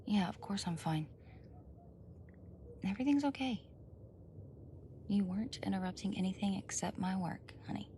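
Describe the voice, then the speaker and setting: A woman's voice: mid-range voice, expressive, moderate pace, pauses often, dark tone, a little hiss or hum, lightly acted. A woman acting a flustered, defensive young roommate caught off guard in an ASMR roleplay, stammering, indignant and evasive. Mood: nervous, evasive. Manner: stammering, indignant, evasive, sighs and nervous laughs.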